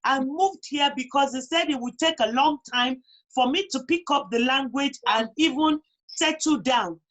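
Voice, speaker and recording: High-pitched Nigerian woman, emphatic talker. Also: normal speed, fairly bright tone, rough recording. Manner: emphatic, repeats phrases for emphasis. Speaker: guest on a talk show. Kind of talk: conversational interview, personal advice.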